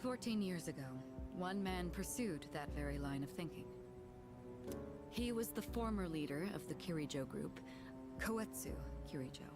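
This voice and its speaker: A woman playing a composed, serious young heiress in an anime game, speaking in a formal, measured, grave manner. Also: lowish voice, expressive, lightly acted. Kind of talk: English anime game voice acting. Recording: music under the voice.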